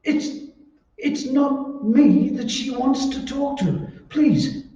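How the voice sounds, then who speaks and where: An actor playing a meek, frightened, henpecked husband who stammers and hesitates, in an old-time radio drama. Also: male voice, extremely high pitch, slow, mellow, a little hiss or hum. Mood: meek, nervous. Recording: very echoey, muffled, distant room recording.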